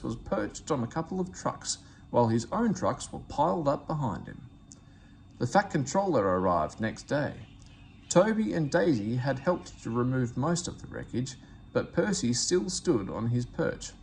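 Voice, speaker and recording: A man reading a children's story aloud in expressive narration. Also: mid-range voice, measured pace, vocal fry, slight background noise. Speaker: storybook reader.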